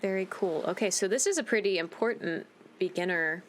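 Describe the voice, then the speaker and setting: A woman's voice: medium pitch, a little fast. Woman gamer with faint background noise. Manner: informal, reacts aloud to the game. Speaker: gaming video host. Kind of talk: casual playthrough commentary and reading on-screen text.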